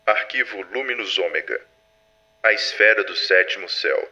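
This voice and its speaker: Man acting a crisp, authoritative superintendent. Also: fairly low pitch, expressive, normal speed, sharp tone, highs cut off, heavily acted. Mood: formal, measured. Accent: Brazilian. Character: composed, authoritative male superintendent of a secret organization. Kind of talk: formal scripted archive recording, audio drama. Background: music.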